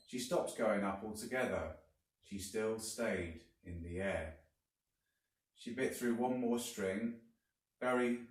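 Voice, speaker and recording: A man reading a children's story aloud like a teacher, deliberately and with dramatic emphasis. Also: lowish voice. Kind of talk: expressive storybook narration. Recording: very echoey room, distant mic.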